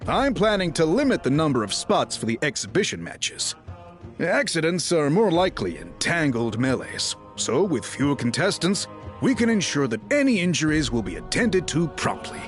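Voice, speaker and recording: A man's voice: a little high, sing-song, moderate pace. An actor voicing an earnest, conscientious male organizer in a video game, explaining in an orderly, polite way. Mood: earnest, businesslike. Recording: background music under dialogue.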